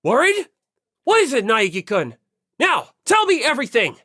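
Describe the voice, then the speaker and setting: An audiobook reader voicing a stern, zealous high school hall monitor boy with pompous, formal, emphatic speech. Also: wildly swooping pitch, normal speed, pushed and bright, clean studio sound, full character voice. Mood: eager, emphatic. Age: teenager. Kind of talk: audiobook character voice.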